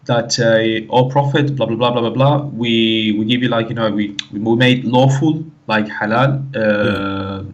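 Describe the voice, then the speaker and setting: A man from the Canary Islands with a Spanish-influenced English accent tells his story casually, halting and repeating himself with many conversational fillers. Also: on the low side, steady tone, dull audio. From Canary Islands, Spain. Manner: halting, repetitive, full of "like, you know". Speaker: guest caller telling his story. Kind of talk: casual personal testimony, conversational.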